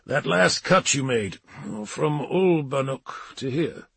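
Man voicing a raised, husky, blunt psychic agent. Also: mid-range voice, expressive, takes it slowly, fairly bright tone, no room sound, voice pushed up, full character voice. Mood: insistent. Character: breezy, apologetic male psychic agent warning a friend. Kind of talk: audiobook character dialogue.

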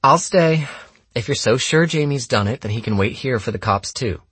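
A man's voice: medium pitch, animated, moderate pace, a bit bright, husky, no room sound, voice pushed up. A man voicing a wry, nonchalant rich teenage girl for an audiobook, with sardonic, slangy casual phrasing. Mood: firm.

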